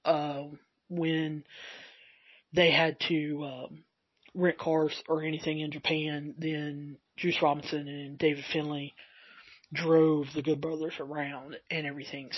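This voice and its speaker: A man hosting a solo podcast, recapping in a rambling way with fillers, his voice getting a bit raspy. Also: fairly high pitch, dry recording, highs cut off. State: slightly raspy voice. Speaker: podcast host.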